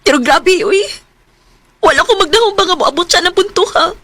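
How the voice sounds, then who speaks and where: A woman's voice: extremely high pitch, expressive, brisk, fairly bright tone. An actress playing a heartbroken, betrayed young woman in a melodramatic radio drama, plaintive and emotional. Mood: hurt.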